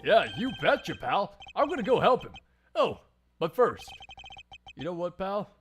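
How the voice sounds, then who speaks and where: A man plays a big-hearted, goofy police detective from a video game fandub. His delivery is gushing and informal, leaping around in pitch with excitement. Music plays behind him. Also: unhurried. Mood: excited, grateful. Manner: informal, gushing, tacks "pal" onto sentences. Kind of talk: anime game fandub character acting.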